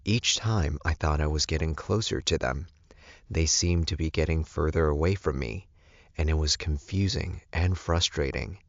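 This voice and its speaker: A man's voice: extremely low pitch, moderate pace, no echo, muffled recording. A man reading scripted first-person fiction as an audiobook narrator.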